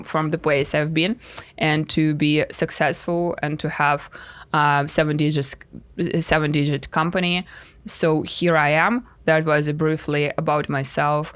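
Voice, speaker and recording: A woman in her thirties from Russia with a Russian accent, talking frankly and haltingly about her life on a podcast. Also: deep voice, a bit bright, clean tone, dry recording. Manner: frank, halting, many fillers. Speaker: podcast guest, life coach. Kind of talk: casual podcast interview.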